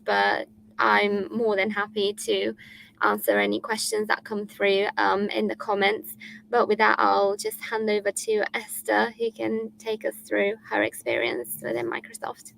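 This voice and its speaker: Young woman with heavy vocal fry. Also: mid-range voice, steady tone, unhurried, fairly bright tone, slight background noise, low-quality recording. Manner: hesitant, many ums. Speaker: intern presenter. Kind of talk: informal presentation.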